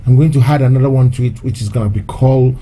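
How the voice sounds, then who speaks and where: A man's voice: medium pitch, fairly even intonation, fast, mellow. A man hosting a live-stream show, talking in a loose, repetitive way and slipping in short Yoruba phrases. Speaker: host of an online activist show. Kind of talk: casual live-stream address.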